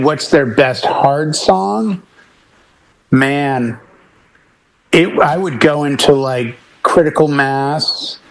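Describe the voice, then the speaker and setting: A man's voice: medium pitch, low-quality recording. Man chatting casually in a mid-range voice. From Boston area, United States. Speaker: wedding DJ, panel member. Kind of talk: casual panel chat about music.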